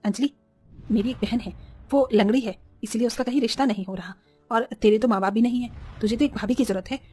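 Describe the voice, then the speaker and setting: A woman voicing a jealous, insistent village wife and mother in an animated horror story. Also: on the high side, fast talker, husky, faint music behind. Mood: insistent, angry. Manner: scolding, insistent.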